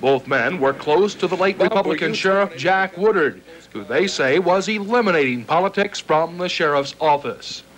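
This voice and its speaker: A man's voice: fairly high pitch, expressive, moderate pace, hardly any pauses, fairly bright tone, poor audio. A man reading scripted television news narration as a reporter, on an old videotape recording. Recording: faint noise, old 1970s videotape.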